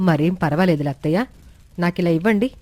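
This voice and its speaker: A woman's voice: fairly low pitch, fast, warm tone, no echo, natural voice. A woman voicing a dutiful, trusting young daughter-in-law in a family story. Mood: gentle, helpful.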